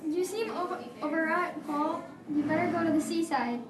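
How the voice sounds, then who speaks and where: A woman's voice: very high voice, fairly even intonation, normal speed, noisy background. A woman voicing an anxious character in a family drama for a puppet show. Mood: anxious, earnest. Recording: distant echoey live show with crowd.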